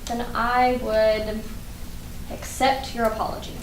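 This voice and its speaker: A woman acting a proud, blunt, guarded high school girl with an emphatic, dry manner in a staged play reading. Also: level tone, slow pace. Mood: softening. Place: indoor room at a staged reading. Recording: roomy, distant mic, faint noise.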